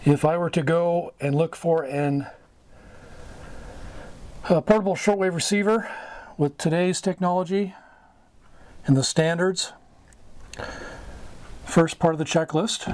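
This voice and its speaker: Higher-pitched middle-aged man, casual explainer. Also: a little mellow. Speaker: host of a hobby radio tutorial. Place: small wood-paneled indoor room. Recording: clear background noise, room ambience.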